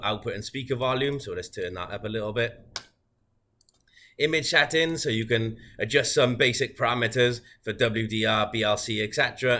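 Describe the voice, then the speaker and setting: A man giving an informal, methodical, unscripted software walkthrough as a product demonstrator. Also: fairly low pitch.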